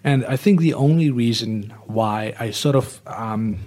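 A man hosting a podcast, talking in a casual, reflective way as he thinks out loud. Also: medium pitch, unhurried. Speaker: podcast host. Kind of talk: casual conversational interview.

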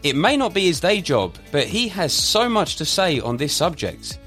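Man, podcast host, upbeat lively delivery, faint music behind. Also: on the high side, normal speed. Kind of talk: podcast interview.

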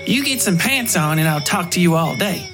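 A man's voice: sits high, animated, normal speed, a bit bright, soft background music, pitched above the performer's own voice. A man voicing an evasive, scolding country wife in a horror story, with snappish, nagging rural speech. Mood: scornful.

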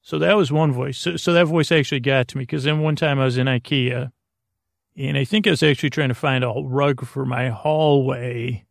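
A middle-aged American man hosting a sleep podcast with a rambling, halting, self-deprecating bedtime monologue. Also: mid-range voice, fairly even intonation, creaky, dry recording, studio quality.

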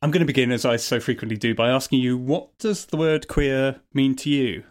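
A man hosting a podcast interview, speaking in a friendly, measured way. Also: mid-range voice, steady tone. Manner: friendly, measured, scripted intro then chat. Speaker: podcast host.

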